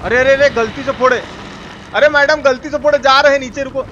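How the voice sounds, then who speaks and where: High-pitched Bihari man streaming over game noise. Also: brisk, bright, forceful tone, lo-fi sound. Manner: colloquial, repetitive, exclaiming, mock-abusive. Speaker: live-streamer playing a horror game. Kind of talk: excited gameplay commentary. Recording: noisy stream with game sounds behind.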